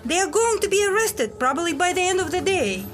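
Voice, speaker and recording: High-pitched, exasperated secret agent woman acting. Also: very animated, fairly quick, fairly bright tone, faint music behind, heavily acted. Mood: scolding. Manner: scolding, insistent, sweary. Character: exasperated, guarded female secret agent in hiding. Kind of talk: audio drama acting.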